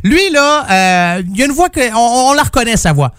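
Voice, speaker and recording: A man with a youthful, high-pitched voice and a Québécois French accent, chatting informally and enthusiastically about music as a show host. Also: very animated, a little fast, fairly bright tone, smooth, no room sound, studio quality. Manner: informal, self-deprecating, enthusiastic. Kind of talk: casual chat about music.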